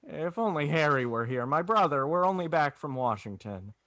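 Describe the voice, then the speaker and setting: A man acts out a desperate family man in a 1940s-style radio play. His voice is bright and swings widely in pitch, stammering and pleading, recorded close and dry. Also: very animated, normal speed, no room sound. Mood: desperate, angry. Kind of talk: 1940s radio drama acting.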